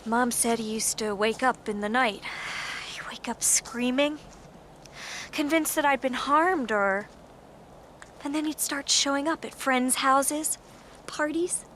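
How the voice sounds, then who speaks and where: An actress playing a guarded, grieving American daughter in a naturalistic radio drama. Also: female voice, high voice, takes it slowly, fairly bright tone, a little hiss or hum. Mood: subdued, reflective. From United States.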